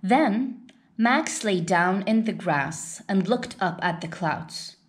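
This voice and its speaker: Slow, lively woman reading a children's story. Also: mid-range voice. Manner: unhurried, deliberate read-aloud delivery. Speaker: storybook reader. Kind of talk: expressive children's story narration.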